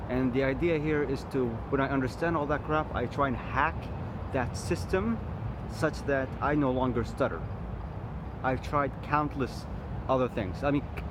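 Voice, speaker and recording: A man talks through a reflective vlog in an ordinary mid-range voice, pausing and restarting his sentences as he thinks aloud. He is recorded outdoors, with loud traffic rumbling behind him. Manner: thoughtful, halting, with frequent restarts and fillers. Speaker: vlogger. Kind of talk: reflective personal monologue.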